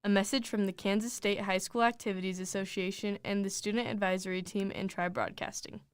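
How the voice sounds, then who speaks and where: Clear, dry-sounding young woman reading announcements. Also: mid-range voice, fairly even intonation, fairly quick, no echo. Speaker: student athlete reading public service announcement. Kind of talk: scripted announcement read.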